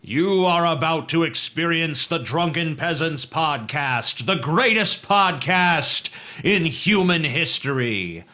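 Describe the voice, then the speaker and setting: A man reading a scripted podcast announcement with formal, measured delivery. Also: fairly high pitch, animated, bright, forceful tone, soft background music.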